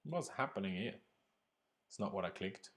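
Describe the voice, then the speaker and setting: A man's voice: low-pitched, lively intonation, normal speed, fairly bright tone. A young man from Austria hosting a live football reaction stream, chatting casually, reading viewer comments and laughing often. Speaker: livestream host reacting to a football draw.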